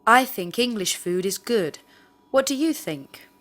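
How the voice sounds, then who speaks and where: Female language-lesson questioner, slightly high and melodic, careful scripted delivery. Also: animated, unhurried, a bit bright. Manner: careful, clearly phrased questioning. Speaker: language teacher asking drill questions. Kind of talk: scripted question drill, read aloud.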